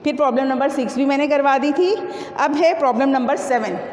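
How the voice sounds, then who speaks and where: Woman physics teacher, high voice, repetitive step-by-step lecturing, reverberant room. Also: fairly quick, darkish tone. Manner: instructive, repetitive, asks and answers own questions. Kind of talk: classroom lecture working through numerical problems. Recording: echoey reverberant room with faint noise.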